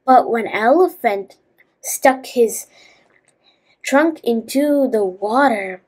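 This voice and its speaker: A woman reading a children's fable aloud as a storyteller, halting at times and adding asides to listeners. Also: a little high, lively intonation, darkish tone, slightly rough, poor audio.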